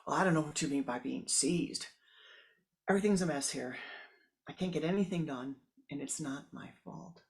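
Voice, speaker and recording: A storyteller voicing a fretful woman consumed by criticism, complaining in a shrill, aggrieved way. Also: moderate pace, creaky. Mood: defensive.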